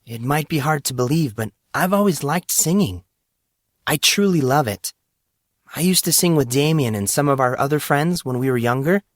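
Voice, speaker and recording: A man voicing an earnest, embarrassed teenage schoolgirl in audiobook dialogue, sometimes halting. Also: a little high, lively intonation, no room sound, studio quality, voice pushed up, natural voice. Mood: wistful, embarrassed. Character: remorseful, embarrassed teenage schoolgirl who loves singing.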